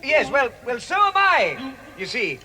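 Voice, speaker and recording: A man playing a fussy, nervous, polite little gentleman in a vintage radio drama, stammering. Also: very high-pitched, very animated, a little slow, a crowd in the background, heavily acted. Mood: alarmed, pleading. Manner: flustered, stammering. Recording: very noisy vintage radio recording.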